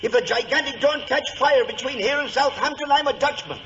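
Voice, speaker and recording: A man's voice: very high voice, fairly even intonation, fairly quick. An actor playing an alarmed ship's crewman in an old radio drama, calling out in an exclamatory way. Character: excited British ship's crewman, alarmed lookout. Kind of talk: 1940s radio drama acting. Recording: muffled, very noisy old radio recording.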